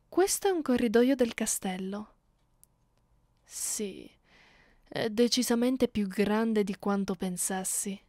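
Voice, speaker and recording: A woman voicing a haughty, cruel, centuries-old vampire mistress in an ASMR roleplay, imperious and taunting in a measured way. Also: medium pitch, a little mellow, no room sound, studio quality.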